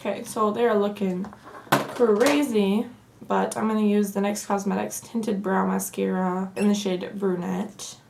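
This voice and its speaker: A young woman with a Southern accent, hoarse from allergies, chatting casually through a makeup tutorial. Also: medium pitch, measured pace, music in the background. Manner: chatty and relaxed, says "like" often. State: hoarse from allergies, losing her voice. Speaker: beauty vlogger. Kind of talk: casual get-ready-with-me tutorial.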